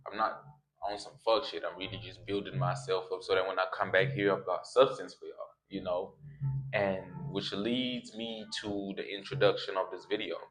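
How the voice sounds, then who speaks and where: Slangy young man with a low voice. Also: fairly bright tone. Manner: slangy, streetwise, repeats phrases for emphasis. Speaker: YouTuber. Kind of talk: casual motivational vlog.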